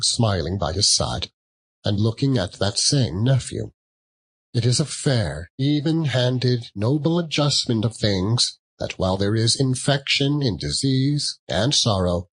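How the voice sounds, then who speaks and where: A man narrating a classic tale as a dramatic audiobook, in a theatrical, measured way. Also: mid-range voice, a bit bright, slightly rough.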